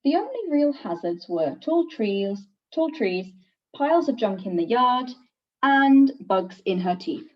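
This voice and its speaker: A woman reading a children's picture book aloud in an expressive, deliberate teacher's storytelling manner. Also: fairly high pitch. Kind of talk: scripted picture-book narration. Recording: distant, slightly dull microphone.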